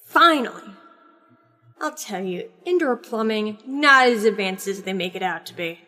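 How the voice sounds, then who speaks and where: A woman's voice: mid-range voice, expressive, moderate pace, bright, forceful tone. A woman playing a shrewd, wry, grumbling hacker with a foul mouth in an audio comedy drama. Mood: exasperated, grumbling. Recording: long reverberant echo, music behind.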